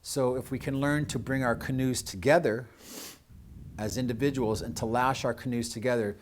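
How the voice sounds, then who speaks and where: An American man speaking as host of a community show, addressing his audience off the cuff in an earnest, halting way with drawn-out pauses. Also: medium pitch, steady tone, a little hiss or hum. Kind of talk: unscripted closing address to audience with thanks.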